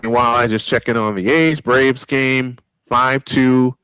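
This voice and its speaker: American male sportscaster, old-school baseball radio-style calls with casual asides, medium pitch, very dry studio sound. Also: steady tone, measured pace, fairly bright tone, clean tone, dry recording. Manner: old-school broadcast delivery. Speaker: play-by-play sportscaster. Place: small home-style studio.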